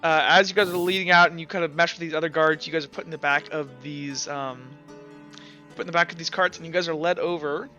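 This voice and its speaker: Casting: male game master, high bright voice, casual halting improvised narration for a tabletop game. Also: steady tone, dull audio, soft background music. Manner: halting, casual, full of "like" and "kinda".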